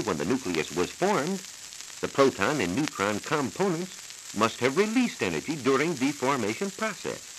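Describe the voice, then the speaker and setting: An American man working as a science reporter, giving a formal, measured, scripted explanation in 1940s radio style. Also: medium pitch, fairly bright tone. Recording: hissy, crackly 1940s transcription disc.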